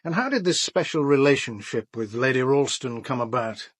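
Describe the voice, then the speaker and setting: An actor playing a measured, dryly sceptical police inspector questioning suspects in a radio play. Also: male voice, medium pitch, expressive, dry recording, clean studio sound, close to an everyday voice. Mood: businesslike.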